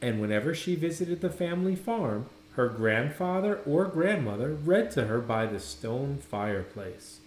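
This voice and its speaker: Animated man reading in an echoey room. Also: medium pitch, normal speed, few pauses, a little hiss or hum. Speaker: educator reading a picture book aloud. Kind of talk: expressive storybook narration.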